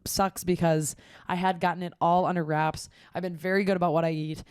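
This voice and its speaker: Young female co-host, raspy and hoarse, lowish pitch, dry close sound, candid chatty delivery. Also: level tone, a little fast, darkish tone, dry recording. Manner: candid, chatty, emphatic repetition. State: hoarse from stomach acid. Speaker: podcast co-host. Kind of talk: casual chat.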